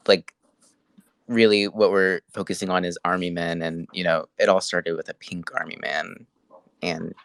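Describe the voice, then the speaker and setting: Soft-spoken young man with a deep voice. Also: dry recording. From Texas. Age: young adult. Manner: soft-spoken, hesitant, with pauses. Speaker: guest artist. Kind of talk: casual conversation.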